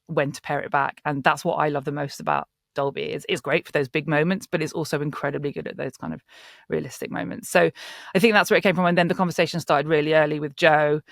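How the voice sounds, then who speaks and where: Higher-voiced man with creaky edge. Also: dry recording, clean studio sound. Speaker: audio drama producer. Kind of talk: panel interview talk.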